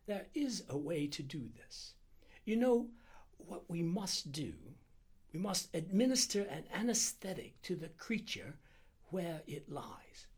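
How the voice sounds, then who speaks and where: A man voicing an anxious, precise little Indian doctor in an audiobook, speaking in an urgent and deliberate way. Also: a little high, big pitch swings, a little mellow, voice pushed up, exaggerated voice. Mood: thinking aloud. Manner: urgent, halting, deliberate, breathy at times. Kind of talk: audiobook character dialogue.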